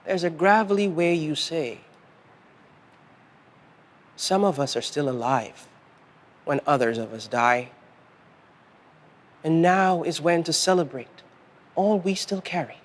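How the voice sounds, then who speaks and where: A man reading poetry in a deliberate, solemn manner, pausing between short phrases. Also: fairly high pitch. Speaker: poet reading own work. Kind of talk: measured literary poetry reading.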